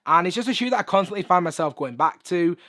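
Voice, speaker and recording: A hoarse man, chatting upbeat through a sneaker review in a room full of shoes. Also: high-pitched, expressive, fast talker, pushed and bright. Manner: chatty, upbeat, lots of filler phrases. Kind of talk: casual video review. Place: room with shoe collection.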